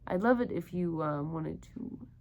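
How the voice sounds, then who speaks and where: A woman's voice: lowish voice, animated, moderate pace, vocal fry, a little mellow. A woman reading a picture book aloud to children, full of gushing wonder and talking directly to her young listeners.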